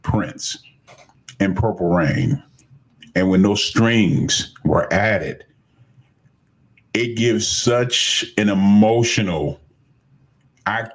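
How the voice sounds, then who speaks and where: A man's voice: on the low side, extremely slow, pauses often. A man hosting a podcast interview, admiring and searching for his words as he asks questions. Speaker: podcast host.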